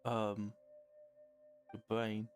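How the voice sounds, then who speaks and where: A man's voice: lowish voice, completely flat delivery, extremely slow, over music. A man giving casual commentary as a gamer over gameplay.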